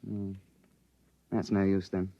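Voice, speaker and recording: An actor playing a hesitant, formal intelligence officer in a 1950s radio drama, with clipped delivery. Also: male voice, deep voice, completely flat delivery, slow, no echo. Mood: brooding. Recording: dull vintage radio recording.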